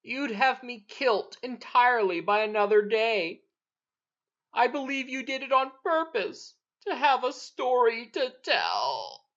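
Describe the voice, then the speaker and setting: A theatrical old uncle with a lilt groans and drawls his complaints, reproachful and teasing, as a woman reading a storybook puts him on. He speaks very slowly. The sound is dull and muffled. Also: a little high, very animated, fairly bright tone, voice pushed up, full character voice.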